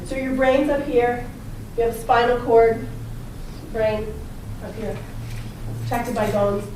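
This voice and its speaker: A slightly hoarse woman with a raspy voice, a chiropractor giving a chatty, upbeat health talk and quizzing her small audience. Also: a little high, fairly even intonation, slow pace, darkish tone. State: slightly hoarse from talking a lot. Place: personal training gym, indoors. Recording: echoey room, distant mic, background noise.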